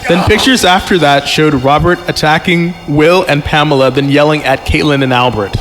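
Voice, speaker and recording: A man hosting a podcast, reading a story aloud haltingly with casual asides and chuckles. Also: on the high side, poor audio. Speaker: podcast host and reader. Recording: music playing behind the voice. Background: prominent background music.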